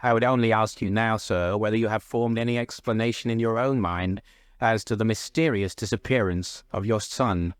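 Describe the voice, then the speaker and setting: A man voicing a calm gentleman detective in a classic story, with a bland, polite, precise manner. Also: lowish voice, moderate pace, clear, resonant tone, dry recording, studio quality, lightly acted. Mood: courteous.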